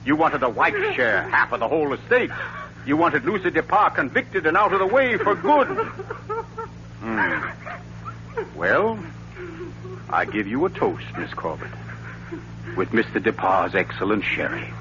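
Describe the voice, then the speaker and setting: On a dull, noisy old radio recording, a suave gentleman sleuth. His voice is bright and highish, swinging widely as he relishes each florid accusation in classic 1940s thriller style. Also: huge pitch swings, a little slow, long pauses, exaggerated voice. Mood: smug, relishing. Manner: florid, taunting rhetorical delivery.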